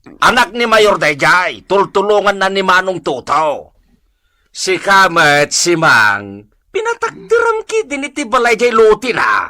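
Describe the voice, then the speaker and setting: Casting: male actor as a scolding Ilocano village chief, forceful bright voice, impatient questions, lively comedy radio drama swings. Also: high voice, a little slow, heavily acted. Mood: stern. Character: exasperated scolding male barangay captain.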